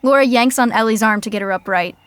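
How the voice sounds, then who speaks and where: A woman's voice: a little high, fast talker, fairly bright tone, smooth, natural voice. A woman playing the wry narrator of a scripted sitcom.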